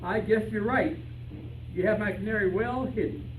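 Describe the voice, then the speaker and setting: A man playing an excitable, argumentative, stubborn detective in an old-time radio style stage play. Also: sits high, normal speed, faint music behind, close to an everyday voice. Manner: indignant, argumentative. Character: excitable stubborn male detective searching for his lost canary. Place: live rehearsal before an audience. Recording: echoey room, distant mic, faint noise.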